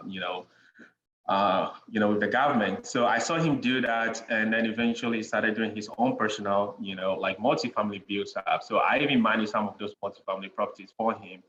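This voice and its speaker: A young man with a Ghanaian accent, a podcast guest chatting in a relaxed way, with many "you know" fillers and frequent chuckles. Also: lowish voice. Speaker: guest, real estate investor. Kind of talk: casual interview conversation. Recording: dull lo-fi sound in an echoey room with faint noise.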